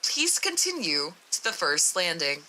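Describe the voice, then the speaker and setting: A woman voicing a calm, insistent research doctor in a horror story read-aloud, formal and detached. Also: on the low side, unhurried, a little hiss or hum, pitched below the performer's own voice, exaggerated voice, poor audio.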